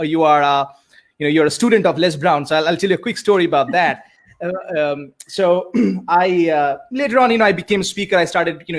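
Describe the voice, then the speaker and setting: Indian coach, male, Bengali native speaker, earnest storyteller, filler-heavy, slightly raised pitch. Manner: earnest, many fillers and repeated starts. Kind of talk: conversational storytelling.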